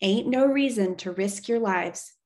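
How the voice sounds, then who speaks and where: A woman's voice: mid-range voice, unhurried, darkish tone, close to an everyday voice. A woman voicing a tough, plainspoken woman stagecoach driver with a firm, folksy way of talking in a storybook. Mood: matter-of-fact. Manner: commanding, folksy frontier talk.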